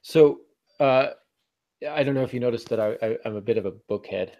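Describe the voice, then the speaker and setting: A man's voice: mid-range voice, brisk, dark tone, dry recording. A man hosting a writing podcast as an author, speaking in a casual, conversational style.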